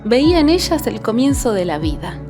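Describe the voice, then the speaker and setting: A woman's voice: fairly high pitch, big pitch swings, normal speed. A woman reading a poetic, reflective voice-over narration. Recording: voice-over over clear music.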